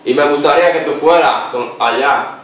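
A man's voice: fairly high pitch, fairly bright tone. Young man making a prank call. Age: young adult. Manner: halting, repeats himself, stifles laughs. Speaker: prank caller. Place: small living room, seated on a couch. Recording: echoey room, far from the mic.

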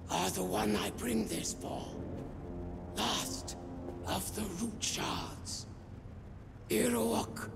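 Game role: aged alien mystic, croaking rasp, halting broken English, pitched fairly high with swooping, solemn delivery. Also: male voice, exaggerated voice. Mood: grave, firm. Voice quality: croaky, breathy, rasping. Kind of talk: video game cutscene acting. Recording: music under the voice.

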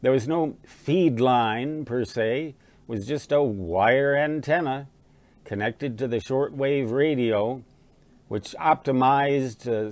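A man's voice: medium pitch, darkish tone, no room sound. Older man reminiscing, dry close sound. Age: 60s or older. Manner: rambling, hesitant, conversational explaining. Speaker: amateur radio hobbyist and vlogger. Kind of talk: casual reminiscing monologue to camera. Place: small living room at home with fireplace.

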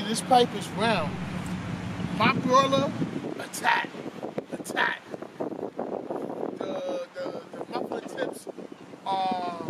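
A young man filming a casual vlog at a car meet, chatty and upbeat, asking the car owners questions. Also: high voice. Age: young adult. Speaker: car vlogger. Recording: loud wind and engine noise.

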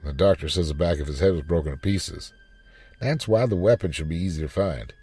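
A man's voice: low-pitched, brisk, dark tone, slightly rough, exaggerated voice. A man voicing a blunt, casual police detective in a story reading. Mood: matter-of-fact. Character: gruff, casual male police detectives talking shop.

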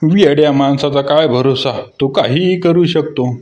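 A man's voice: fairly high pitch, moderate pace, a little mellow, no room sound, lo-fi sound, close to an everyday voice. A man playing ordinary put-upon men in comic cartoon skit dialogue. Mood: dry, joking.

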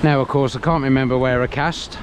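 A man's voice: mid-range voice, fairly even intonation, fairly quick. A man chatting casually to himself and the camera while fishing, in a muttering, self-deprecating way. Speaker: angler vlogger. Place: outdoors on sea cliff rocks. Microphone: action camera worn on the body. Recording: loud wind and surf noise outdoors. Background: sea waves and wind.

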